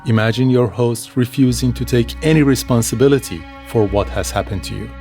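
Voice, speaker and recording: A man's voice: mid-range voice, fairly even intonation, a little fast, a little mellow, soft background music. A man reading scripted narration for a documentary as its narrator.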